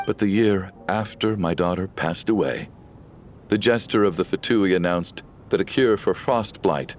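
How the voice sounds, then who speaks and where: An actor playing a grieving middle-aged father, anguished and pleading, his voice swinging from low and sombre to raised cries, over faint game music. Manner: anguished, pleading, rising to shouts. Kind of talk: video game voice acting.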